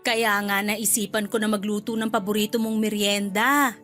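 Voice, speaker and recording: A woman acting a warm, hospitable middle-aged mother in a Filipino radio drama, motherly and gently insistent. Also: medium pitch, steady tone, moderate pace, soft background music, natural voice. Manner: motherly, persuasive.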